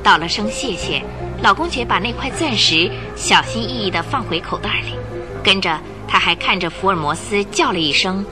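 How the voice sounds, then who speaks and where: A woman narrating a radio drama series with vivid, expressive storytelling. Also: medium pitch, moderate pace. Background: background music.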